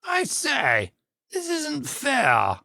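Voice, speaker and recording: A man's voice: very high voice, huge pitch swings, drawn-out words, creaky, sharp tone, no room sound, studio quality, pitched above the performer's own voice, full character voice. A man voicing a haughty, peevish unicorn in a children's storybook, with an indignant, exclaiming manner. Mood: indignant complaint.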